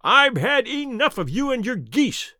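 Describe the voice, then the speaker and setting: Male reader as angry stallholder, raised high voice, fierce, impatient, audiobook character, lively swings. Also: a little fast, no echo, clean studio sound, heavily acted. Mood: furious. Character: surly, hot-tempered market stall salesman.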